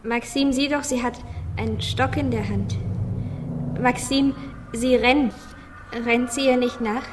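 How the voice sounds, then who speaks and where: A woman playing a lonely, anxious little boy's inner voice in an amateur radio play, childlike and halting. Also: on the high side, steady tone, background noise, lightly acted. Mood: alarmed. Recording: long reverb, music underneath.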